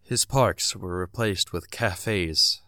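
A man's voice: low voice, takes it slowly, bright, forceful tone, no room sound, studio quality. A man narrating a scripted audio drama in a dramatic, theatrical storytelling manner. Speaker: narrator and announcer. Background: music.

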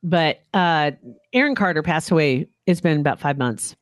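A chatty podcast co-host who talks at an easy pace and laughs often. The voice sits at a middle pitch. The recording is dry and close. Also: animated, moderate pace, smooth, dry recording. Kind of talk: casual podcast chat.

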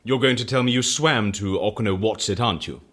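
A man's voice: lowish voice, brisk, fairly bright tone, husky. A man playing a fussy, sceptical creature of habit in an audio drama, hesitant and full of incredulous questions. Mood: baffled.

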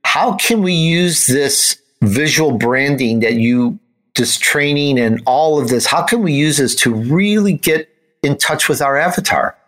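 A man's voice: a little high, lively intonation, measured pace, a bit bright. American man hosting an interview. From United States, grew up in Chicago. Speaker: show host, interviewer. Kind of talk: conversational interview.

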